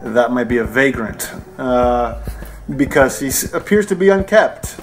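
A man's voice: medium pitch, expressive, unhurried, soft background music. A man in his forties, an interview guest describing his friend in a playful, teasing way. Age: 40s. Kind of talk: casual interview talk.

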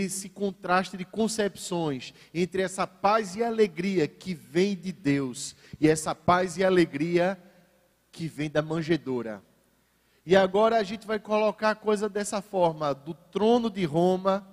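Brief: male Brazilian pastor, high voice, sermon delivery with wry asides, hall reverb. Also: a little mellow. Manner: varies pace for emphasis, ironic asides to the congregation. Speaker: preacher.

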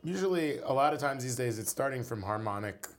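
A man's voice: mid-range voice, animated, a little fast. A man, a musician guest in an interview, talking casually and rambling with many fillers.

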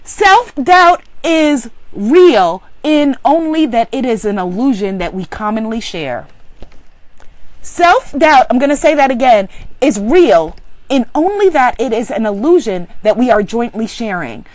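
Brief: woman motivational speaker, exclamatory, builds through repetition, bright high voice. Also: animated, a little slow, no echo. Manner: emphatic, exclamatory, preacher-like repetition. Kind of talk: inspirational talk to women.

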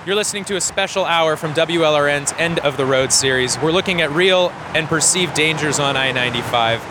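A man casually hosting a radio documentary out on location. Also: a little fast. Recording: loud outdoor highway traffic noise.

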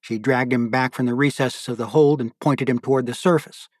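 A man's voice: mid-range voice, fairly even intonation, brisk, no echo, clean studio sound. A man giving a scripted narration as an audiobook narrator and podcast host.

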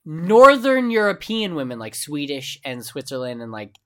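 A man's voice: fairly high pitch, sing-song, normal speed. A young man, American of Mexican descent, co-hosting a casual chat with blunt, joking remarks and plenty of swearing. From United States, of Mexican descent. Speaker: podcast co-host.

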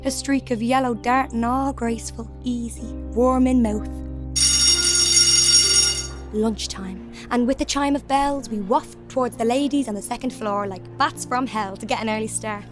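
A woman's voice: fairly high pitch, moderate pace, lightly acted. An actress playing a young woman delivering a sensual, restless verse monologue in an audio drama. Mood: vivid, brisk. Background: faint music.

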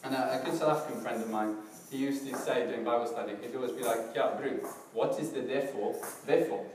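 A middle-aged man with a slightly hoarse, crackly voice, preaching a casual church sermon in a relaxed, self-deprecating way with many fillers and restarts. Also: mid-range voice, fast talker. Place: church hall, in front of a congregation. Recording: distant, very echoey hall with background noise.

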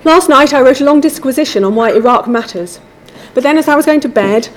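A woman giving a measured, formal academic lecture from a prepared text. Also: high-pitched. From England.

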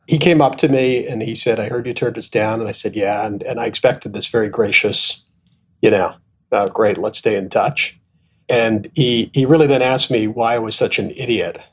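A middle-aged man from Canada speaks in a husky, somewhat breathy voice, recounting his career with self-deprecating asides. He pauses and restarts often as he thinks. The recording sounds dull and low-fidelity, as if from a remote call. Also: fairly even intonation. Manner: thoughtful, self-deprecating, frequent restarts and fillers. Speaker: asset management executive, guest. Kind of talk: conversational interview storytelling.

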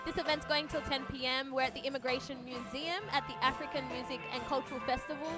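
Upbeat high-voiced radio host woman. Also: fairly even intonation, fast. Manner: upbeat, laughs often, echoes the guest's words. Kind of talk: live on-site radio interview. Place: outdoor festival. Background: music.

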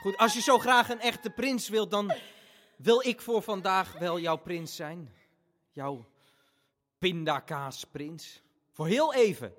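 High-voiced, boyish little brother. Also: male voice, expressive, takes it slowly, fairly bright tone, heavily acted. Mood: tender, resolute. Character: eager, tender little brother in a children's fairy tale. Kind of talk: theatrical storytelling for children.

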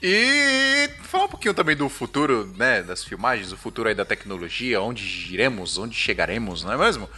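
Lively Brazilian man hosting a podcast. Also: medium pitch, brisk, few pauses. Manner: jokey, slangy. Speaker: podcast host. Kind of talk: casual chat.

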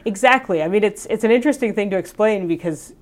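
A woman's voice: medium pitch, fast. A woman scientist answering interview questions, explaining her work eagerly with many "you know"s. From United States. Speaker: scientist, professor.

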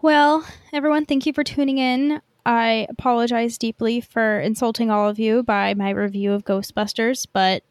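A woman's voice: fairly high pitch, fairly even intonation, normal speed, few pauses, clean tone, no echo, studio quality. A woman co-hosting a podcast in casual chat, with an informal, self-deprecating manner. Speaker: podcast co-host.

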